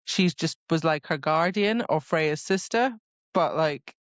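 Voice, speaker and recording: A woman's voice: sits low, unhurried, dry recording, dull audio. A woman co-hosting a podcast, chatting casually about a book in a giggly, conversational retelling style. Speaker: podcast co-host. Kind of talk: casual book discussion chat.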